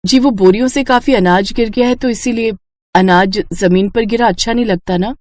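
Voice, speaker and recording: A woman's voice: on the high side, normal speed, a little mellow, close to an everyday voice. A woman voicing a humble, hardworking poor mother in a children's moral story, deferential and earnest.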